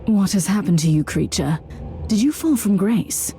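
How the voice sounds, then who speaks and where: A woman's voice: medium pitch, animated, moderate pace, dark tone, slight background noise, lightly acted. An actress playing a stern, zealous female inquisitor who speaks firmly and curtly in a video game cutscene. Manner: firm, curt, commanding.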